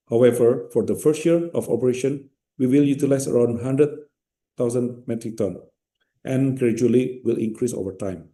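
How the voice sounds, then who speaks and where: A man with an Indonesian accent giving a formal, measured company results presentation from a script. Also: medium pitch, mellow.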